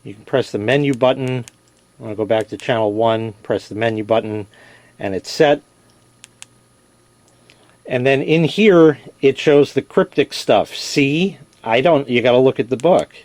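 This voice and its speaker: A man talks through a hands-on product review in a casual, plain-spoken way. His voice sits at an ordinary male pitch. He explains step by step and readily corrects himself, recorded dry with very little room sound. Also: measured pace, darkish tone, no echo. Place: indoors in a basement.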